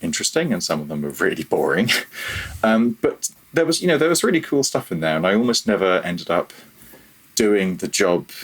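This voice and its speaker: A man's voice: sits low, big pitch swings, brisk, breathy edge. A man talking casually as a podcast guest about his own working life, in a rambling, conversational way. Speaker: podcast guest, audio programmer. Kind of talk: casual interview, telling own career story.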